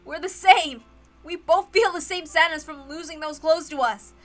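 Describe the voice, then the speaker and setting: A woman's voice: very high voice, normal speed, faint music behind. A woman voicing an anguished, hot-blooded teenage boy with emphatic pleading, in a visual novel read-aloud.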